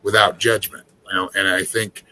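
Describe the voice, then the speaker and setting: A man's voice: fairly low pitch, flat delivery, moderate pace, bright, forceful tone, rough recording. A man in his forties who grew up in a small town, hosting an earnest, casual conversation about spirituality and now and then swearing to make a point. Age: 40s. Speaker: spiritual host.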